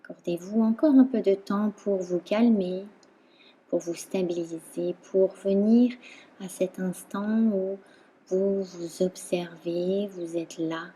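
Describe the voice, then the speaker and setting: A woman instructor guiding a relaxation meditation in a calm, soothing manner, leaving long pauses between phrases. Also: mid-range voice, breathy edge. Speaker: yoga nidra instructor.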